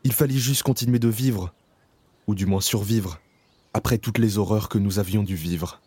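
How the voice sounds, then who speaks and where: A man's voice: lowish voice, fairly even intonation, moderate pace, warm tone, breathy edge, no room sound. A man playing a weary camp survivor, narrating his memories in a subdued, reflective way in an audio drama. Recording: music playing behind the voice.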